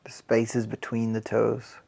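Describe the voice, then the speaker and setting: A man guiding a body scan meditation as a mindfulness teacher, calm and gentle, unhurried, leaving long pauses. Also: on the low side, creaky, husky.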